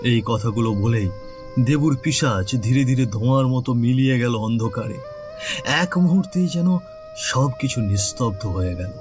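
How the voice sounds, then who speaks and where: A man's voice: mid-range voice, expressive, normal speed, a little mellow, soft background music. A man narrating a scripted horror story as storyteller, in a solemn, theatrical manner.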